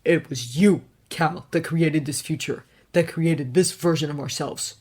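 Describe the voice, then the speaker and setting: Woman doing a stern guarded rich guy, clipped deadpan, low voice. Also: measured pace, slightly rough. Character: stern, guarded wealthy man, cold and curt.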